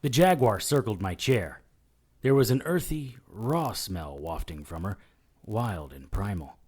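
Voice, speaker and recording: An actor playing a wisecracking paranormal detective in an audio drama, with a sardonic, hard-boiled, dramatic delivery. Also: male voice, fairly low pitch, expressive, unhurried, darkish tone, breathy edge. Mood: tense, wry. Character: wisecracking male paranormal detective narrating his own ordeal. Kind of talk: audio drama narration and dialogue.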